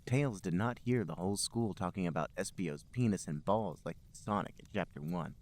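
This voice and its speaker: A man's voice: sits low, a little fast, a little mellow. A man hosting a podcast, reading amateur fiction aloud as comic narration with an emphatic storybook delivery.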